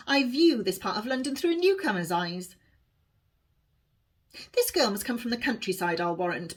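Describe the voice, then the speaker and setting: Woman, medium pitch, lively intonation, dramatic storybook narration, expressive author reading. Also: breathy edge. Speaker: author reading their own novel aloud.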